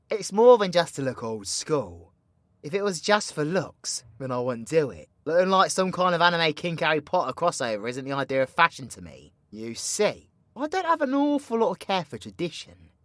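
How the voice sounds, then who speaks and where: A man's voice: medium pitch, no echo. A British man playing a cocky, foul-mouthed, vengeful supervillain magician in an audio roleplay, with slangy, theatrical, teasing banter. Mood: reflective, earnest. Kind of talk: ASMR-style audio roleplay, addressing an unheard companion.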